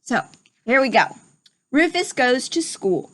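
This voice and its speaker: A woman reading a picture book aloud to young children in an expressive storytelling manner. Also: high voice, unhurried. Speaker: storybook reader. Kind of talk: children's read-aloud narration.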